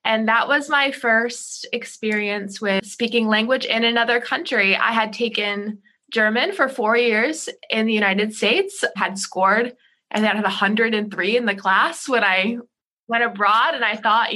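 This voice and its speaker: A woman in her thirties chats as a podcast guest, telling stories in an animated way. Her voice is bright and forward. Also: fairly high pitch, moderate pace. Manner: chatty, animated storyteller. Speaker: podcast guest, ESL teacher. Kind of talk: casual interview storytelling.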